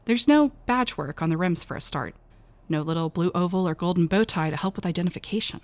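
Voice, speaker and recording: A woman's voice: fairly low pitch, expressive, brisk, lightly acted. A woman playing an uneasy, wry young female cashier, narrating an audio drama in a wary, conversational first person. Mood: tense curiosity.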